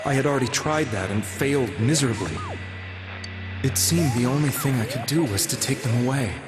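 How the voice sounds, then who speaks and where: A man's voice: medium pitch, lively intonation, close to an everyday voice. Man playing a guilt-ridden, solemn delivery man over music. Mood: somber, reflective. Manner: halting, solemn, confessional. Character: guilt-ridden, earnest delivery man confessing his misguided mission. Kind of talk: dramatic video game voice acting. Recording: music behind the voice.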